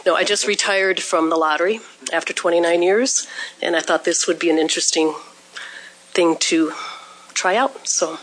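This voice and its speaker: A middle-aged woman from South Dakota who works as a committee secretary, speaking in a hearing room in a brisk, matter-of-fact way. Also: fairly low pitch, fairly bright tone, low-quality recording. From South Dakota, USA.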